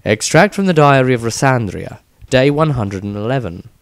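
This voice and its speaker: Guy doing audiobook narration, mid pitch, really animated intonation, scripted read. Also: normal speed, no echo, studio quality. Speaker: narrator and author.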